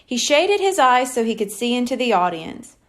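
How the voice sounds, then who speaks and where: High-pitched, animated woman reading a children's story. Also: moderate pace. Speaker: audiobook reader. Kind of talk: scripted children's story narration.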